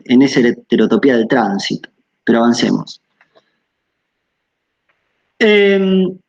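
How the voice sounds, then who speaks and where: A man's voice: on the high side, muffled recording. A man giving an academic lecture over a video call, hesitant, with many fillers and tag questions.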